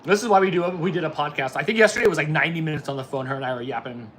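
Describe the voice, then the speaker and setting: Male streamer, 40s, bright edgy tone, casual off-the-cuff chat, noticeable background noise. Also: on the high side, very fast. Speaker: livestream host. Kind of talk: casual chat with live viewers.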